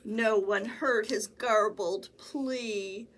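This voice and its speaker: A woman's voice: on the high side, steady tone, extremely slow, fairly bright tone, slight background noise. A woman reading a children's picture book aloud as a storytime librarian, with theatrical, sing-song emphasis. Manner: theatrical, sing-song emphasis with acted animal sounds and sneezes.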